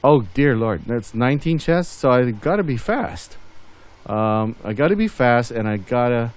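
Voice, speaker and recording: A man's voice: medium pitch, lively intonation, unhurried, clear, resonant tone. A man doing casual gameplay commentary as host of a gaming video, rambling and thinking aloud as he goes.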